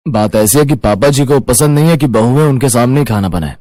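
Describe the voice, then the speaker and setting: A man's voice: mid-range voice, a little fast, dark tone, no room sound, clean studio sound, close to an everyday voice. A man voicing a dutiful young married son with an earnest, explanatory manner in a cartoon moral story. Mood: apologetic, earnest.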